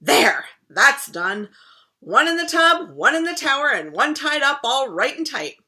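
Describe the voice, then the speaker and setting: Storybook read-aloud, a defiant and grumpy crocodile who yells in a raised, bright, high voice and bursts with loud, lively swings of pitch, as a woman puts him on. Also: unhurried, heavily acted. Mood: gleeful. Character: grumpy crocodile disguised as a princess.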